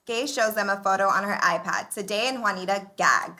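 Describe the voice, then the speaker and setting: A woman's voice: mid-range voice, moderate pace. A woman reading scripted stage directions as a narrator, recorded live on a slightly noisy microphone.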